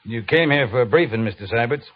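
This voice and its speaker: An actor playing a stern, exacting cavalry captain with a clipped, commanding manner in an old-time radio western. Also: male voice, mid-range voice, animated, quick pace, close to an everyday voice. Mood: curt, stern. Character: stern, exacting male cavalry captain, brusque, grudgingly fair. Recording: muffled old radio broadcast, audible hiss.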